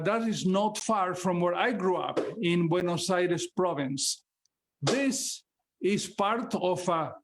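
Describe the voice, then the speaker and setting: A man's voice: high-pitched, slight background noise. A man from Argentina with an Argentine accent, a poet and translator speaking and reading poems at an online open mic. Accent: Argentine Spanish.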